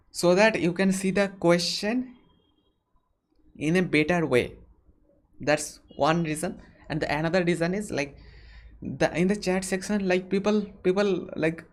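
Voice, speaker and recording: Young bengali indian guy in his early 20s, voice a bit high, doing a casual q&a stream, repeats himself a lot. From West Bengal, India. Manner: deliberate, repeats phrases. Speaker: YouTuber hosting a Q&A live stream. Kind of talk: casual question-and-answer talk to viewers.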